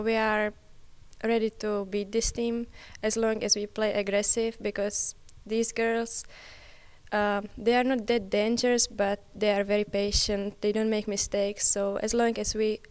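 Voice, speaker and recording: Young czech woman, clear smooth voice, pretty even tone, answering sports interview questions. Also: mid-range voice. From Czech Republic. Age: early 20s. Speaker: college tennis player being interviewed.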